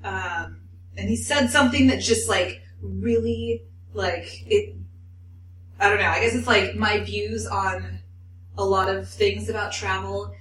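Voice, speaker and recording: A woman's voice: mid-range voice, unhurried, fairly bright tone, slight background noise. A woman co-hosting a podcast, chatting casually with lots of "like" and fillers. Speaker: podcast co-host. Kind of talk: casual chat. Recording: echoey room, mic at a distance.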